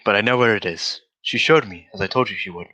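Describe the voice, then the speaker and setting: An actor playing a shrewd, confident gentleman detective in old-style radio drama, politely explaining himself in an assured manner. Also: fairly low pitch, fast talker, dull audio. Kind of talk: 1940s radio drama acting.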